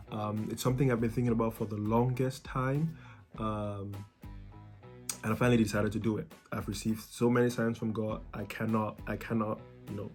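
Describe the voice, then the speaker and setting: A man's voice: lowish voice, a little mellow. A young man from Cameroon who talks casually to his viewers about coaching students, friendly, with many ums and repeated words. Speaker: YouTuber and student life coach.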